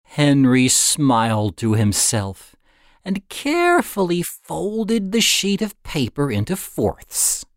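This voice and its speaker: A man narrating a story in a deliberate, dramatic way, with expressive phrasing and suspenseful pauses. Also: a little high, dry recording, studio quality. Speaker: audiobook narrator.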